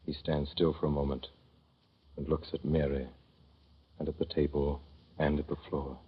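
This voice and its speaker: A man narrating as a gentle, thoughtful father in a 1930s radio drama, with measured, tender storytelling. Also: extremely low pitch, little pitch movement, breathy edge, full character voice. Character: gentle, thoughtful father telling of his son. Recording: dull old 1930s radio recording.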